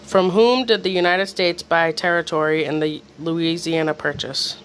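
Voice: medium pitch, fairly even intonation, moderate pace, fairly bright tone, rough recording. Someone casually reading quiz questions aloud, sometimes stumbling over the words, in a room at home. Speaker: quiz reader. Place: small room in a home, off camera. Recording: faint background noise, homemade video.

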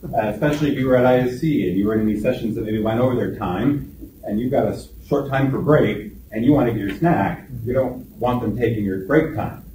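A man in his forties leading a presentation skills workshop, calling on trainees by name and slowing down to stress his points. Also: mid-range voice, dark tone, a little hiss or hum. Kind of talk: classroom workshop lecture. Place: large training room indoors. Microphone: room camera from a distance. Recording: echoey room, distant mic.

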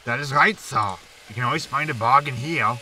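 A man's voice: medium pitch, moderate pace, sharp tone, far from the mic, slight background noise, rough recording. An actor playing a smarmy, irritable male used-spaceship salesman in a sci-fi comedy audio drama.

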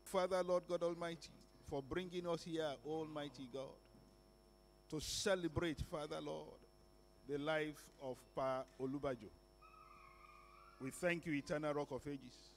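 A middle-aged pastor prays fervently in a fairly high voice into a handheld mic. He keeps calling on the Almighty by one title after another in a big church hall, with a faint hum behind him. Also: steady tone, measured pace. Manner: fervent prayer, repeating divine titles. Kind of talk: closing prayer. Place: large wood-panelled church hall. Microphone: handheld microphone.

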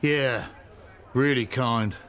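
A man's voice: mid-range voice, extremely slow, fairly bright tone, some chatter behind. An actor playing a grumpy, suspicious middle-aged husband and father in a radio soap, talking in a curt, grudging way.

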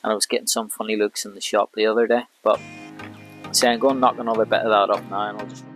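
A man's voice: lowish voice, fast, faint music behind. Man explaining carp rigs by a lake. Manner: relaxed, explains each step while working. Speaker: carp angler vlogger. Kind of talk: casual how-to commentary. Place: outdoors by a lake.

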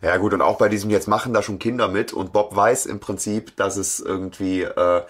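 A man's voice: on the low side, steady tone, brisk, fairly bright tone. A man co-hosting a podcast in casual chat, dropping in wry comments. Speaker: podcast host.

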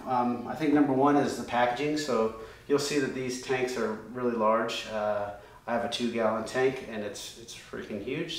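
A man's voice: mid-range voice, distant mic, slight background noise. A man in his thirties casually explaining his race car's oiling system at a chalkboard, loose and conversational with many ums and restarts. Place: workshop room with a chalkboard. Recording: very echoey room, voice a bit off-mic.

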